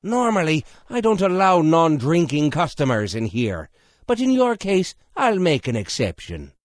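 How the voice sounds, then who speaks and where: A gruff older barkeep from a fantasy game. His fairly high voice swings in pitch as he talks bluntly and protectively, recorded close and dry. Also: big pitch swings, measured pace, dry recording. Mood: stern. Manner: blunt, no-nonsense. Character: gruff older male tavern keeper, protective and stern. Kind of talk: video game character acting.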